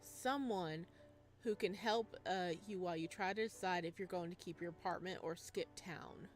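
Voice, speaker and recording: Expressive woman reading a visual novel. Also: fairly low pitch, moderate pace, soft background music. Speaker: gaming streamer reading a visual novel aloud. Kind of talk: expressive story narration with casual asides.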